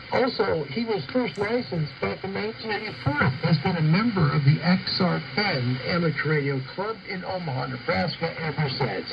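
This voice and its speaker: A man's voice: fairly high pitch, vocal fry. A man presenting ham radio news over shortwave, reading from a script with chatty asides in an enthusiastic, emphatic manner. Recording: noisy, muffled shortwave radio broadcast.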